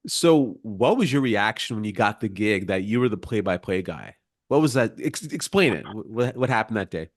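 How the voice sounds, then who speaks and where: A man's voice: lowish voice, expressive, moderate pace. A man hosting a podcast, asking questions in a casual, curious, informal way. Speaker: podcast host, interviewer. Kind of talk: casual conversational interviewing.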